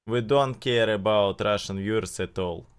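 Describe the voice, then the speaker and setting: A man streaming and reacting to videos, speaking halting non-native English with many pauses and fillers. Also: lowish voice, clean tone. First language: not English. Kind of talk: casual live-stream commentary.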